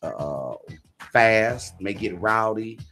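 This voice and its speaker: A middle-aged man with a husky voice talking earnestly and emphatically as a radio interview guest, often checking that he is understood. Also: lowish voice, animated, takes it slowly, a bit bright, faint music behind. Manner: emphatic, often seeking agreement with repeated check-in phrases. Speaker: guest, stage playwright. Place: radio talk show.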